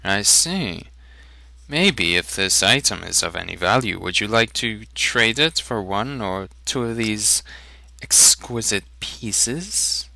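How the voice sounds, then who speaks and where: A man voicing a smooth, courtly merchant in a fantasy audiobook reading, with a theatrical salesman's manner. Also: fairly low pitch, expressive, takes it slowly, bright, forceful tone, no echo, exaggerated voice. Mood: smooth, probing. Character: smooth male trader, salesman-like, secretive, easily irritated.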